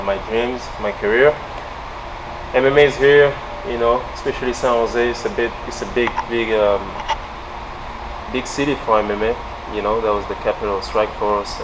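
A young man with a French accent, a fighter being interviewed in a large indoor gym, speaking haltingly with many fillers. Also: fairly low pitch, noisy background. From France. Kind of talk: casual interview.

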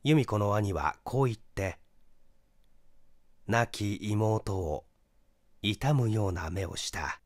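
Male audiobook narrator for mystery fiction; fairly low, very unhurried, deliberate. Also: animated, no echo, studio quality. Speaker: story reader, small-theatre performer.

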